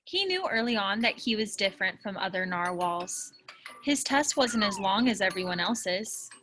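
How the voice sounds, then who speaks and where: A woman reading a children's picture book aloud in an expressive storytelling style. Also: medium pitch, moderate pace. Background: music behind the voice.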